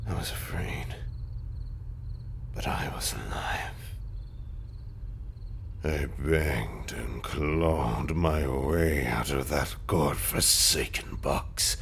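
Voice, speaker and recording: A man playing a haunted, tender undead husband in an intimate audio roleplay monologue, speaking haltingly and often slipping into breathy whispers. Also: rumbling low, fairly bright tone, a little hiss or hum, heavily acted. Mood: desperate, afraid.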